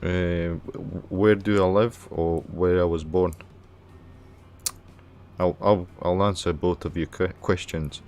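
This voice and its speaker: A man from Romania hosting a casual gaming livestream, thinking aloud in a halting, hesitant way. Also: low-pitched, fairly even intonation, darkish tone. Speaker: livestream host playing a game.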